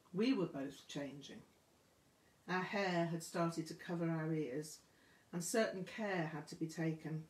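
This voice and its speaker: A woman reading a memoir aloud as scripted narration, with measured, deliberate phrasing. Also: lowish voice. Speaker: audiobook reader. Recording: roomy, echoing room sound.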